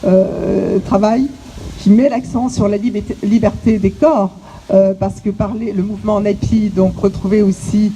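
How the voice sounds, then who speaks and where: Halting woman speaking outdoors amid noise. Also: mid-range voice, steady tone, mellow, low-quality recording. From French Guiana. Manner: halting, many hesitations and restarts. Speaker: exhibition curator. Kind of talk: inaugural speech to visitors. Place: outdoors on an island site.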